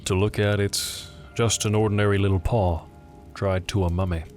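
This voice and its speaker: Man playing a grave, low-voiced old soldier. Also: fairly even intonation, normal speed, a little mellow, soft background music, lightly acted. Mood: guarded, offhand. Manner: solemn, offhand, terse. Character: grave, weary middle-aged soldier, a sergeant major.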